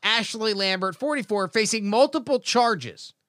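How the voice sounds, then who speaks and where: A man's voice: high-pitched, moderate pace, a bit bright, no echo, studio quality. A man in his thirties hosting a casual comedy chat, jokingly reading out odd news stories. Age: 30s. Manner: jokey, reads news stories aloud with asides. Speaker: podcast host.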